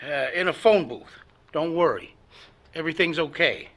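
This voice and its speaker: A man's voice: on the high side, animated, normal speed, a bit bright. A man playing a shifty hired crook in a 1940s-style radio drama, deferential, conspiratorial and clipped.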